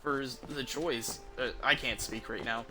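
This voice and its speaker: A man giving casual, halting video game commentary as he thinks aloud. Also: medium pitch, steady tone.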